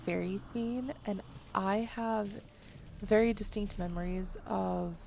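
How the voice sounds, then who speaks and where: A woman's voice: medium pitch, steady tone, slow pace, gravelly, a little mellow, a little hiss or hum. A woman giving casual game walkthrough commentary as a gaming video creator. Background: game music.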